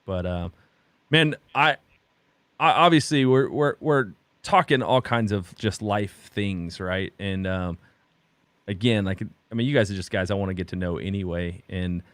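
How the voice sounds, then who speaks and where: A man's voice: sits low, a bit bright, no echo. Reflective man pastor, dry close sound. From Oklahoma. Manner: halting, many restarts and fillers, reflective. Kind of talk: candid conversation on a video call.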